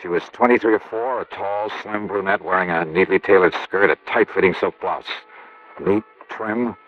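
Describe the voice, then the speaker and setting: An actor playing a brisk American investigator, narrating and questioning in a 1950s radio drama. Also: male voice, low-pitched, natural voice. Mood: wry narrating. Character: brisk, inquisitive insurance investigator hero who narrates. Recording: muffled old radio recording.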